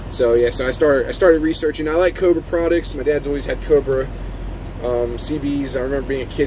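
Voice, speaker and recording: Rambling trucker with noisy background. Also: male voice, medium pitch. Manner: rambling, halting, informal. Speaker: long-haul trucker, vlogger. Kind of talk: casual vlog talk.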